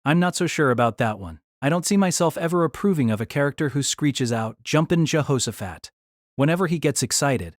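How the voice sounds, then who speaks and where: A man's voice: a little high, animated, brisk, no room sound, studio quality, lightly acted. An actor playing a wry, exasperated young man, delivering wordy first-person story narration and dialogue in a deliberating, self-conscious way. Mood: dry, reasoning.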